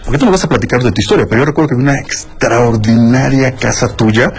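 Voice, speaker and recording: A man's voice: mid-range voice, fast, vocal fry. A man hosting a radio show, chatting casually and teasing his guest. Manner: playful, jokey, teasing. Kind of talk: casual chat interview.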